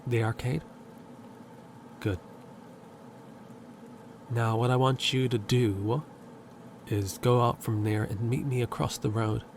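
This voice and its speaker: A man's voice: medium pitch, fairly even intonation, slow pace, long pauses, a little hiss or hum. A man playing a confident, self-centered handyman in an audio roleplay, teasing and cocky. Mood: businesslike. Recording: music bed underneath.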